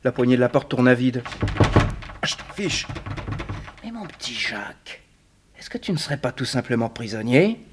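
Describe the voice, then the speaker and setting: An actor playing a wry, resourceful detective held prisoner, in the theatrical, ironic style of a 1960s French radio serial. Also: male voice, medium pitch, very animated, moderate pace, long pauses, very noisy, natural voice. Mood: annoyed, exasperated. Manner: theatrical, ironic, muttering to himself.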